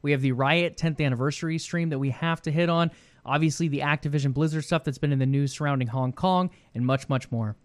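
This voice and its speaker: Male podcast co-host, easygoing and tired, slightly high-pitched, dry close mic. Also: a little fast, no room sound. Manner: easygoing, laughs often. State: tired, throat worn. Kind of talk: casual gaming podcast chat.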